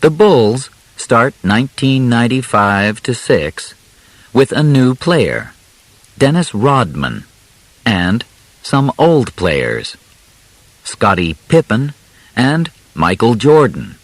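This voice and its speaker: A man reading a scripted audiobook in simple, measured phrases with clear pauses. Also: mid-range voice, very animated, no echo, low-quality recording. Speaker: audiobook narrator. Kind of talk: scripted narration of a simplified biography for learners.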